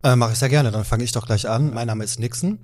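A man's voice: medium pitch, fast talker, a little mellow. A man in his forties explaining himself earnestly as a guest on an interview podcast, recorded indoors in a shop. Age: 40s. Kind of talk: conversational interview.

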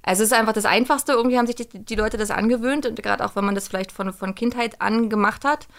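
A woman's voice: fairly high pitch, fast talker. Colloquial woman chatting as interview guest. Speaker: guest, voice actress and audio drama director. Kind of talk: casual interview chat.

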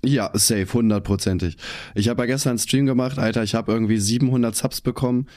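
A hoarse young man co-hosting a podcast, chatting casually in slang with lots of fillers. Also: mid-range voice, fast talker, darkish tone, no room sound, studio quality. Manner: laid-back, slangy, many fillers. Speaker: podcast co-host and streamer. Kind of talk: casual chat.

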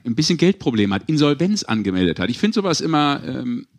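A man's voice: on the high side, sing-song, fast talker. A man hosting a casual sports podcast, chatting and teasing among colleagues. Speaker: podcast host.

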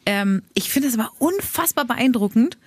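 A woman's voice: fairly high pitch, lively intonation, moderate pace, darkish tone, husky, dry recording. A woman in her thirties co-hosting a radio podcast, chatty and self-deprecating. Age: 30s. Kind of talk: casual chat.

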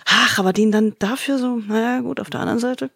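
A woman co-hosting a podcast in casual chat, teasing and playful, quick to interject. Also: fairly high pitch, vocal fry, fairly bright tone, dry recording, clean studio sound. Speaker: podcast co-host.

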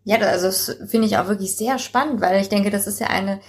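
A woman's voice: mid-range voice, fast. A woman chatting casually as the interviewer in a conversation.

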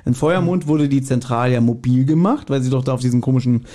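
A man with a mid-pitched, soft-edged mellow voice co-hosts a casual podcast. He explains details conversationally and teases his friends drily. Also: fast talker. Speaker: podcast co-host.

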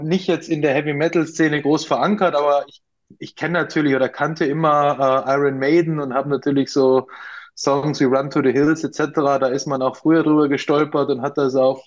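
A man in his forties with an Austrian accent, answering casually as an interview guest and often hesitating. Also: on the high side. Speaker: interview guest, brewery co-founder. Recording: dull, lo-fi interview audio.

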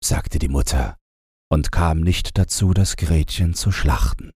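A man's voice: extremely low pitch, unhurried, a little mellow, slightly rough, no room sound, clean studio sound. A man reading a scripted fairy tale as an audiobook narrator, in a bedtime storytelling style.

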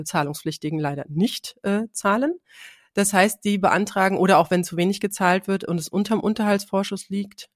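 A woman's voice: mid-range voice, dry recording. Woman with a cold, dry sound. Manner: many fillers and restarts, explains figures step by step. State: slightly congested from a cold. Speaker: podcast co-host. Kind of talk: explanatory podcast chat.

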